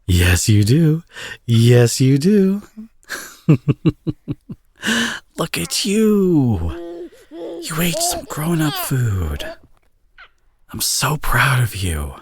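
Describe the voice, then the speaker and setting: A man playing a teasing villain who is a tender father, in an intimate ASMR audio roleplay, with a husky, breathy, soft-spoken delivery. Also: on the high side, huge pitch swings, very slow, pauses often, crowd noise, full character voice. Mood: doting, cooing.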